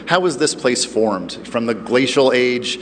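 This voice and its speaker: An echoey indoor space with faint ambient noise surrounds a man of medium pitch. He explains an attraction as its representative in an on-location television interview. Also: animated, unhurried. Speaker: museum representative being interviewed. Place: inside a new museum exhibit space.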